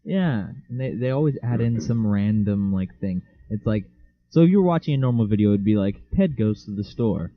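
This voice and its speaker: A young man co-hosting a casual podcast, joking sarcastically and deadpan. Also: fairly low pitch, a little fast, dry recording. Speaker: podcast co-host. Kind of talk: casual chat. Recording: dull lo-fi home mic.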